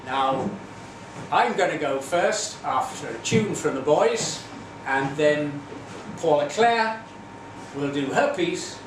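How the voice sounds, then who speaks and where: A man's voice: a little high, animated, slow. Wry formal man in an echoey hall. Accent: English. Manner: wry, formal, theatrical address. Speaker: host and poetry candidate. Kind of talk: compering a live poetry event. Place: small theatre venue. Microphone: far from the mic. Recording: echoey hall from far off, audience noise.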